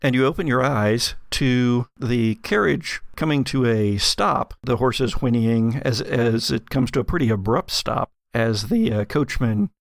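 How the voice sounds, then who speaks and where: A man with a creaky, mid-pitched voice runs a tabletop horror game. He narrates dramatically, pausing for suspense between descriptive phrases. The recording is close and dry. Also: no echo. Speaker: game master. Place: home studio.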